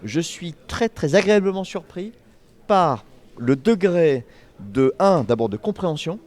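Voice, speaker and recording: A man speaking off the cuff as an entrepreneur at a public debate, emphatic and colloquial, with halting phrasing. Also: a little high, big pitch swings, a little mellow. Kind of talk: spontaneous speech at a public debate.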